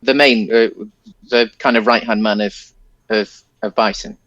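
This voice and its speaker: A man's voice: lowish voice, fairly even intonation, a little slow, a bit bright, no room sound, muffled recording. A British man co-hosting a podcast, chatting casually about a film in a hesitant, rambling way. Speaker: podcast co-host.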